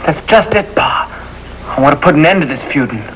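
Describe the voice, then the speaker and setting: Highish-voiced, earnest country son. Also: male voice, animated, fairly quick, fairly bright tone. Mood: pleading. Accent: rural American. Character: earnest, peace-seeking young backwoods son. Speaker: actor. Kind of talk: 1950s television drama acting. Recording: noisy old TV soundtrack.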